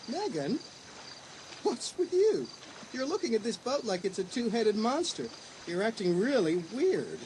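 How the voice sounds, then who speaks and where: A woman's voice: medium pitch, background noise. A woman voicing a cajoling, impatient teenage boyfriend in a dramatised audiobook. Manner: coaxing, casual.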